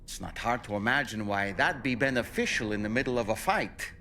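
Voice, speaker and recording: A man's voice: on the low side, fairly quick, sharp tone, slight background noise. A man playing a thoughtful, formal scientific adviser in a video game, speaking in an articulate way. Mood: explanatory. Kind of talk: video game dialogue acting.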